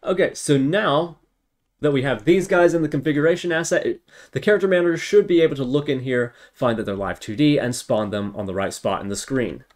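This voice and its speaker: A man's voice: mid-range voice, brisk. A man giving an informal tutorial, thinking aloud step by step as he works on screen. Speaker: tutorial instructor.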